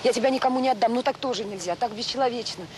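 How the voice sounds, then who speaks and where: An actress playing a devoted, earnest director of a children's home, speaking in short emphatic phrases in a naturalistic radio drama. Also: female voice, racing, lightly acted. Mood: pleading, anguished. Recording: roomy sound with faint noise and rumble.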